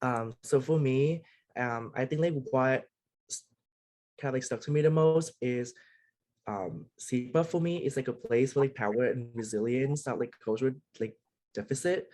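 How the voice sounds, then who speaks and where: A young man, a student speaker, sharing reflections at a presentation in a casual, halting way. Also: medium pitch, a little mellow. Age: young adult. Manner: casual, filler-heavy, halting.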